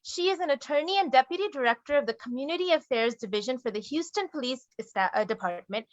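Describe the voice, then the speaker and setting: A woman's voice: high-pitched, fairly bright tone, no room sound, lo-fi sound. A woman moderating an online panel, introducing guest speakers in a formal but friendly way over a video call. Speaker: moderator and case management director.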